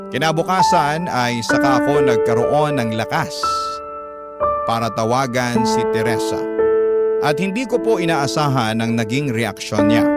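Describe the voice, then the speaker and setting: Man acting as anxious Filipino father, radio drama narration, medium pitch, measured, polite, loud background music. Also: close to an everyday voice. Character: humble, anxious seaman father confiding his troubles. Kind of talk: dramatic first-person letter narration.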